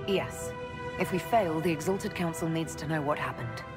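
A resolute elven inquisitor, voiced by a woman, low and steady, with a quiet weariness. Orchestral game music plays under her voice. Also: moderate pace, lightly acted. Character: weary female elf inquisitor, resolute leader.

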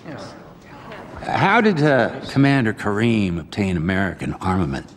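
An actor playing a stern official who questions witnesses formally at a hearing in a video game. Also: male voice, lowish voice, wildly swooping pitch, normal speed, a little hiss or hum. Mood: stern, probing. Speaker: hearing chairman. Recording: long echoey chamber, music behind.

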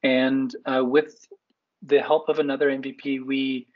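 A man with a husky voice moderating and talking conversationally in an online meeting. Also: medium pitch, little pitch movement, measured pace. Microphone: video call. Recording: dull online call audio.

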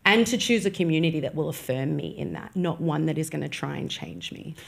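A young woman speaks in a lower register with a gravelly edge. She explains ideas in a measured, thoughtful way, pausing often with small fillers. Recorded close on a podcast mic in a studio. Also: animated. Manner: measured, explanatory, many "um" pauses. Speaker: guest on church music. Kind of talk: thoughtful interview answers. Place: studio set with armchairs. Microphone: boom-mounted podcast mic.

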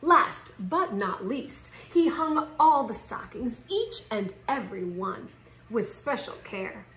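A woman in her thirties reading a children's picture book aloud in a theatrical, expressive way with drawn-out emphasis. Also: a little high, slight background noise. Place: living room at home, indoors. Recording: roomy home recording.

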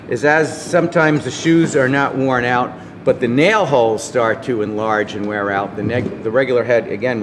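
A middle-aged man, a farrier clinician, explaining horseshoe nails in a casual, halting way full of fillers, filmed at a convention booth. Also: medium pitch, background noise. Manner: explains with many fillers and restarts while handling shoes and nails. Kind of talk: informal product explanation. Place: convention booth with curtains, indoors. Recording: reverberant hall with background ambience.

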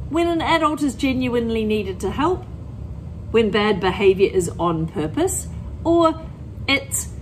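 A woman's voice: mid-range voice, a little mellow, a little hiss or hum, poor audio. A middle-aged woman teacher explaining things to children in plain words and reading aloud expressively from a picture book. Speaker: primary school teacher.

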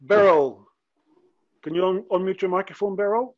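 A middle-aged man hosting a video call, brisk and encouraging, wearing a headset. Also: high-pitched, darkish tone, no room sound. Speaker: host. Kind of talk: casual chat. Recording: dry, dull lo-fi call audio.

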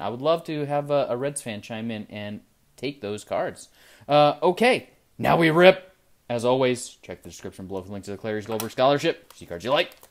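A man's voice: medium pitch, a little hiss or hum. Chatty man ripping baseball card packs. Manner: chatty, excited, trails off quietly while looking at cards. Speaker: trading card channel host. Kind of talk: casual pack-opening commentary. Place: indoor desk with card display. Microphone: camera over the desk, hands only in frame.

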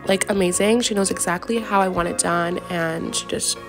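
A young woman vlogger chatting casually to camera with a stuffy, congested, raspy voice, gushing and filling pauses with "like" and "yeah". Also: on the low side, fairly even intonation, music in the background. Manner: bubbly, gushing, many fillers like "yeah" and "like". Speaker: lifestyle vlogger.

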